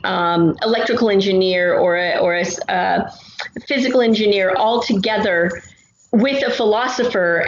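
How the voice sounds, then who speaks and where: A woman on a podcast talking casually and telling a story, deliberate and pausing as she explains. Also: mid-range voice, gravelly. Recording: roomy, dull sound with faint noise.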